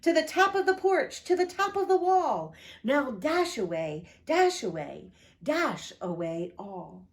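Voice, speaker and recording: Slow, expressive woman pastor reading aloud. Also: high voice. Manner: expressive, lilting read-aloud delivery; friendly direct address to listeners.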